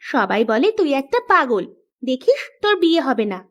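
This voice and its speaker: A woman plays a teasing little village girl from a kids' cartoon. The voice is very high, with a mocking, quick-tempered way of bantering. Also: very animated, a bit bright, muffled recording. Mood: teasing, scornful. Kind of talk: children's cartoon dialogue.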